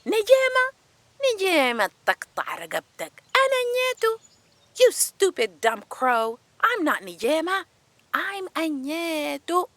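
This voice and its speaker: A woman voicing a rude, selfish, scornful girl in a folktale, yelling and snapping. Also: very high-pitched, sing-song, slow, no room sound, pitched above the performer's own voice, full character voice. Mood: fed up, yelling.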